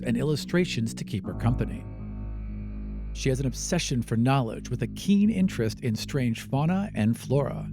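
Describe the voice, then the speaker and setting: A man narrating a tabletop fantasy adventure as game master, in a scripted storytelling style. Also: medium pitch.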